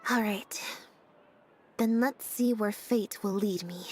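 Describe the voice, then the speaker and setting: An actress voicing a calm, deadpan young woman, a stage magician's assistant in a video game, speaking in a flat, understated, dryly matter-of-fact way. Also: medium pitch, slow, slight background noise, lightly acted.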